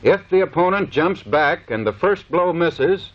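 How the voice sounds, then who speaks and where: A man's voice: on the high side, expressive, a little slow. An American man giving formal, clipped, scripted instructional narration in the manner of a 1930s military training film. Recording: dull vintage 1930s film soundtrack.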